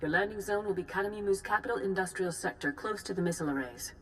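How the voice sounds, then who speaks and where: An actress playing a calm, grave senior Jedi leader giving formal, measured orders in a video game. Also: female voice, mid-range voice, slight background noise, natural voice. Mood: grave, commanding. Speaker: commander giving a mission briefing. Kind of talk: video game cutscene acting.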